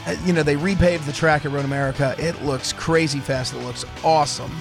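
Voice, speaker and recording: A man's voice: on the high side, steady tone, fairly quick, gravelly, music in the background. A man with laryngitis hosting a casual motorsport show on college radio, rambling and often restarting his sentences. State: hoarse from laryngitis. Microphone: studio microphone.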